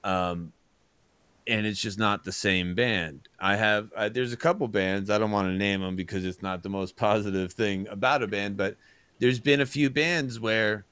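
A man's voice: fairly low pitch, normal speed, a bit bright, smooth, dry recording. A man co-hosting a casual talk show, rambling and chatty with lots of fillers. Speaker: podcast co-host. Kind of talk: casual chat.

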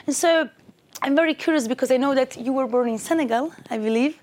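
Brief: young woman interviewer, a little high-pitched, encouraging short replies. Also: fast talker, a little mellow. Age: young adult. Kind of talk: interview questions.

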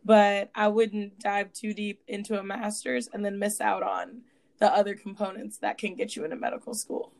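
A woman's voice: medium pitch, little pitch movement, moderate pace, gravelly, darkish tone. A young woman co-hosting a podcast, upbeat and encouraging in casual chat. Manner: upbeat, encouraging, says "solid". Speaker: podcast co-host.

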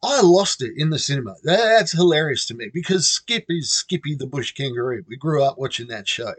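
A man's voice: a little high, expressive, fairly quick, a bit bright, husky, no room sound. A middle-aged Australian man casually telling a personal story, chatty and self-amused. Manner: chatty, self-amused, acts out quoted voices.

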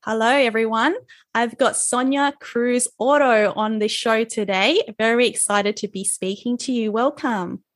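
A woman's voice: fairly high pitch, a little slow. A woman hosting a podcast, introducing the show and interviewing conversationally. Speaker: podcast host.

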